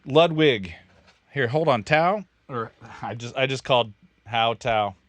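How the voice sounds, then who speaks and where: A man's voice: medium pitch, lively intonation, a little slow, a bit bright, dry recording. A man from Kansas, hosting a casual studio livestream and explaining his drum miking in a rambling, self-correcting, joking way. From Kansas, USA. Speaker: recording studio owner and livestream host. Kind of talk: casual technical livestream chat. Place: recording studio control room.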